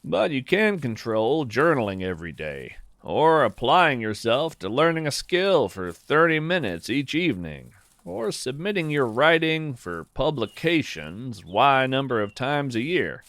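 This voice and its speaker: Male podcast host, slow deliberate read with a lot of melodic rise and fall, dry close sound, calm and gently philosophical. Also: mid-range voice, no echo. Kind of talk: scripted reflective narration.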